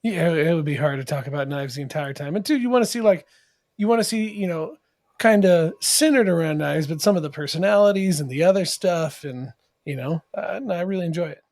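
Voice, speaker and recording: A man's voice: a little high, animated, brisk, darkish tone, no echo. A man as podcast guest and sponsor, talking casually with enthusiastic, rambling stories. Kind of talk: casual chat.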